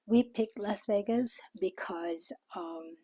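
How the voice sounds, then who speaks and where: Slow-talking older Filipino woman. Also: medium pitch, warm tone, slightly rough, dry recording. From Philippines. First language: Tagalog. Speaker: vlogger. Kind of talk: informal talk to camera.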